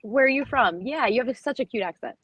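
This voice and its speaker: A woman's voice: fairly high pitch, animated, fast, fairly bright tone, breathy edge, no room sound, highs cut off. A middle-aged woman chatting as a panelist in a teasing, easygoing way. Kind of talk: casual chat.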